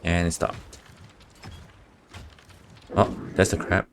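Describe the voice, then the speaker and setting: A man streams a video game with casual commentary. His voice sits low and his remarks are offhand and doubtful, over background game music. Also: level tone, slow pace.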